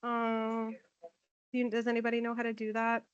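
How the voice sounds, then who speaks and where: A loud-voiced woman presents at a conference, friendly and chatty with plenty of "um"s. Her voice sits a little high and the sound is dry and slightly dull, with the mic close. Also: monotone, normal speed, clean tone, no echo. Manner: friendly, chatty, frequent "um" fillers. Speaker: conference presenter, nursing informatics manager. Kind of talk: conference talk with slides. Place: conference room. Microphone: handheld or podium microphone.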